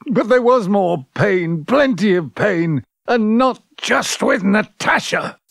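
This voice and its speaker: A man's voice: sits high, lively intonation, creaky, fairly bright tone, full character voice. A man acting a rueful, self-pitying character looking back on old love affairs in an audio drama, theatrical and exclamatory. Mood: rueful, brooding. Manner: theatrical, exclamatory, halting reflective phrasing. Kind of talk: intimate audio drama monologue and dialogue.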